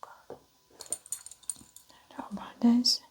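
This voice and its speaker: Slow, whispering woman doing ASMR doctor roleplay. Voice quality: breathy, mostly whispered. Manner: gentle, methodical, clinical instructions. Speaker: ASMR performer playing a doctor giving a medical exam. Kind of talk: soft-spoken ASMR medical roleplay.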